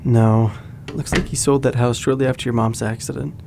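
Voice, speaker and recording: A man playing a steady, protective young friend, easygoing and reassuring, in naturalistic audio drama style. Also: medium pitch, a little fast, vocal fry, natural voice.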